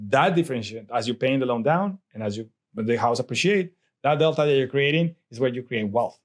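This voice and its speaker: A man's voice: medium pitch, expressive, a little fast. A middle-aged man from Colombia with a Colombian Spanish accent, a native Spanish speaker talking as an interview guest in a rambling way with many restarts and fillers. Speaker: guest, real estate investor. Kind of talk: casual interview chat.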